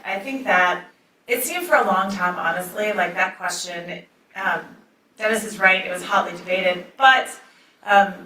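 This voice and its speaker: A soft-spoken woman judge addresses a lecture hall, pausing on ums as she sets out a legal doctrine. Her voice is bright and somewhat low. The sound is echoey and picked up from a distance. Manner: soft-spoken, many ums, reads aloud from a ruling. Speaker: judge and debate moderator. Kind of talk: opening remarks at a law school debate.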